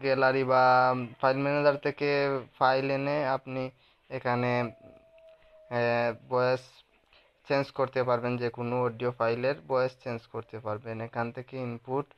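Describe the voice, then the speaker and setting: A man's voice: medium pitch, little pitch movement, moderate pace, long pauses, smooth. A man with a Bangladeshi accent narrating a phone app tutorial as the host, in a slightly dull recording.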